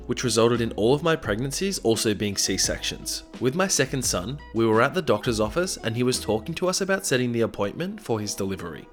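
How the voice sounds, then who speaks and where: A man who is sick and slightly raspy, narrating reddit stories with casual, sarcastic asides. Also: mid-range voice. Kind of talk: reading reddit stories aloud. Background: faint background music.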